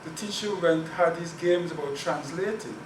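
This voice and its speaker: Guy from guyana lecturing, kinda high voice, mic far off and noisy. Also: moderate pace. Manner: earnest, repeats words while thinking. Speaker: guest speaker on Caribbean languages. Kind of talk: academic talk and Q&A. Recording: echoey room, distant mic, noisy.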